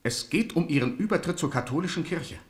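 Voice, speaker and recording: Casting: male actor for jittery, imperious monarch, highish animated voice, roomy radio drama sound. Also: a little fast, natural voice. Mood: reproachful. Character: suspicious, fearful, imperious King of France. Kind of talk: German radio play acting. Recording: roomy studio echo.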